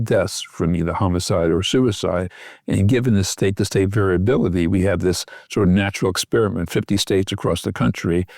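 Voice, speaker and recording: A man giving a measured, methodical explanation as an expert guest in an interview. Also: sits low, creaky, darkish tone, dry recording, studio quality. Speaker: expert guest, physician researcher.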